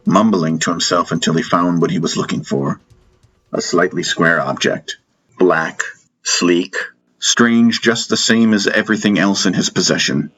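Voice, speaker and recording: A man narrating a first-person fantasy audiobook in measured storytelling fashion. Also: deep voice, fairly bright tone, slightly rough, rough recording.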